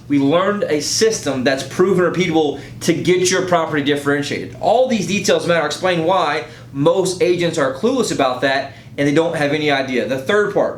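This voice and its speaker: A man working as a real estate agent makes an informal, emphatic and persuasive sales pitch, often checking in with a rhetorical "right?". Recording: roomy echo, faint low rumble.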